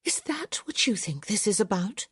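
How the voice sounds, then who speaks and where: A woman voicing a proud, sharp-tongued young noblewoman in an audiobook, her speech haughty, clipped and cutting. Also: medium pitch, very animated, normal speed, breathy edge, no echo, studio quality, pitched above the performer's own voice, exaggerated voice. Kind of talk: audiobook character voice.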